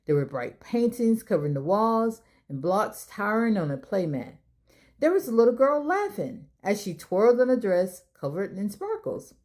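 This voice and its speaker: A woman hosting a children's storytime, reading aloud in an expressive way as if talking straight to young listeners. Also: mid-range voice, moderate pace. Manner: expressive, addressing children directly. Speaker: storytime reader and host. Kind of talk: children's read-aloud narration.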